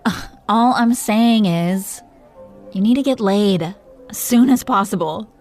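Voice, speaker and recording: A woman's voice: mid-range voice, slow pace, a little mellow, soft background music. Woman playing an imperious, teasing dragon queen. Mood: teasing, bossy. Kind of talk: intimate roleplay audio drama.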